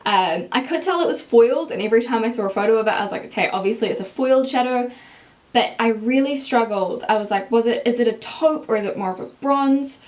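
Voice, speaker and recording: A young woman with a strong New Zealand accent, chatting casually to camera as a beauty vlogger, walking through swatches of eyeshadows in a small room. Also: a little high. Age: young adult. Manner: chatty, explains product swatches while holding up palettes. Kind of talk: casual makeup review to camera. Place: indoors, small room with plain wall.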